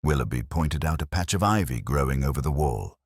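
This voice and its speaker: A man with a very deep voice narrates a first-person detective story. His delivery is measured and melancholy, with lively movement in pitch. The sound is dry, with no room around it. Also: husky, no echo. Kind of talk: first-person scripted literary mystery narration.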